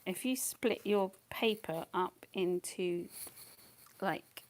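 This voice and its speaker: A woman's voice: medium pitch, slow. A woman losing her voice, teaching watercolour painting live in a casual, rambling way and often muttering to herself as she works. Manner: chatty, rambling, thinks aloud, often mutters under her breath.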